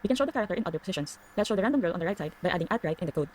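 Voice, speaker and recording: A woman reading scripted tutorial narration, explaining things clearly step by step. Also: fairly low pitch, expressive, rapid-fire, darkish tone, slight background noise.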